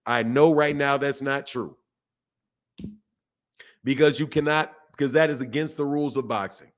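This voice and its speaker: A man hosting a casual boxing livestream and talking to his chat. His voice is hoarse from yelling, and he is emphatic, repeats points and often calls listeners bro or man. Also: mid-range voice, fairly even intonation, moderate pace. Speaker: boxing channel host.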